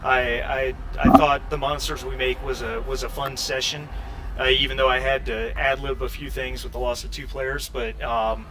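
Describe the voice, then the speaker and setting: A man's voice: medium pitch, level tone, moderate pace, sharp tone, a little hiss or hum, low-quality recording. A man, the game master and host, chatting casually to players and viewers in a hesitant, repeating way. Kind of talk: casual outro chat to players and stream viewers.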